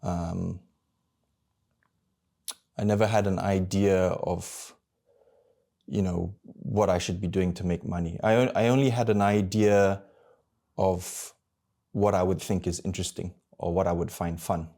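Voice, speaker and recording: A man in his thirties from Malaysia, talking candidly to camera about his own life, halting and searching for words as he goes. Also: sits low, fairly even intonation, creaky, mellow. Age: 30s. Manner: candid and introspective. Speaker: vlogger. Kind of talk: personal reflective monologue.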